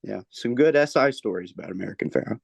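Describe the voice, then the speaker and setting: A man's voice: lowish voice, lively intonation, quick pace, vocal fry, breathy edge, dry recording. A young man co-hosting a casual sports podcast, laid-back, with dry quips. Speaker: podcast co-host.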